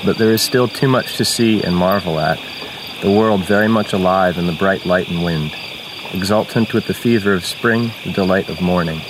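Very low-voiced woman reading nature prose, noisy recording. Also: moderate pace.

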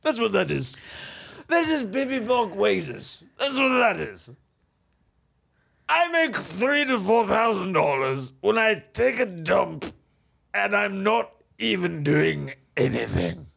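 A man plays a pompous, moneyed bachelor hosting a comedy dating show. His affected, haughty upper-class delivery swoops up and down in a lively way. The voice is thick with gravelly creak. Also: sits high, slow, full character voice. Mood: mocking. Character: pompous, snobbish rich bachelor playing dating show host. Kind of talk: improvised comedy sketch.

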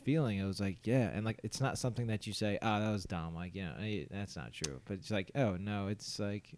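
A man's voice: fairly low pitch, brisk, a little mellow, a little hiss or hum. A young man co-hosting a casual podcast, telling rambling stories full of fillers and restarts, laughing often and acting out his own thoughts. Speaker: podcast co-host. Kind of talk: casual chat.

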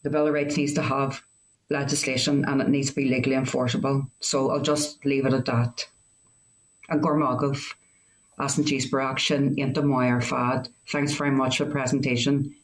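A man speaking earnestly as a committee member, halting with restarts and fillers. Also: mid-range voice, level tone, rough recording. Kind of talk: committee meeting remarks.

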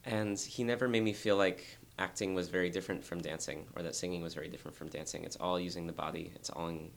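Young guy w a super deep gravelly voice, thoughtful dancer chatting in an interview. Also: steady tone, fast talker. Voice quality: very low voice. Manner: thoughtful, earnest, frequent restarts. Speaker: dancer guest. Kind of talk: reflective interview talk.

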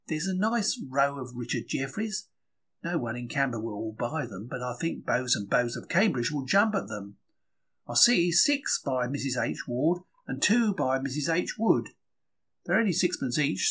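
A man's voice: on the high side, breathy edge. A man reading a diary as a chatty, wry 1930s London bookseller, droll and anecdotal in manner. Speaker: diary reader.

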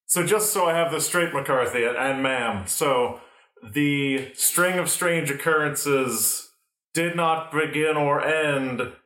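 A man's voice: fairly high pitch, slow, pushed and bright. A man playing an accusatory, muddled detective in an improvised comedy radio mystery. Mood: accusing. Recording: echoey room recording.

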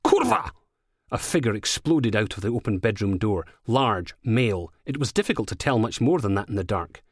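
A Scottish man narrates a crime novel in a low voice. The recording is dry and close, with no room sound around the scripted reading. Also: lively intonation, fairly quick, few pauses, breathy edge, no echo. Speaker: author reading own audiobook.

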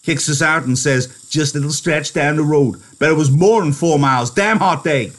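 A man voicing a small, angry ranch hand from a novel, scolding in rough rural slang. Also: mid-range voice, quick pace, voice pushed up, close to an everyday voice. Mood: angry, sharp. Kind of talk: dramatised novel reading.

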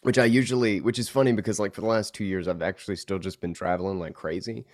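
A man's voice: fairly low pitch, steady tone, quick pace, darkish tone, no room sound, studio quality. A man chatting as a podcast guest, stuffed up from a recent illness, telling stories with lots of fillers and laughs. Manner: rambling, laughing storyteller with many fillers. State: congested, recovering from illness. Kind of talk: casual interview chat.

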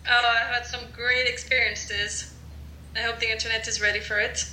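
A woman's voice: fairly high pitch, little pitch movement, moderate pace, bright, forceful tone, slight background noise. A young woman from France with a French accent, chatting casually on a podcast and hedging her points with fillers. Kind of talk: casual chat. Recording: roomy echo.

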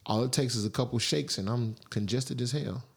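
A man's voice: medium pitch, a little fast, gravelly, slightly rough. A man co-hosting a podcast, trading joking, teasing, profane banter in casual chat. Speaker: podcast co-host.

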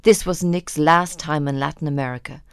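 A woman presenter from Ireland reading scripted radio narration in a measured way. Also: sits low, steady tone, fairly bright tone, smooth, no room sound.

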